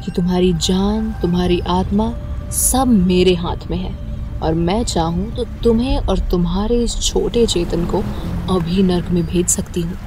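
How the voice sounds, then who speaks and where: A woman playing a menacing, commanding female ghost in a horror-comedy audio drama, speaking in slow threats. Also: on the low side, background noise, close to an everyday voice.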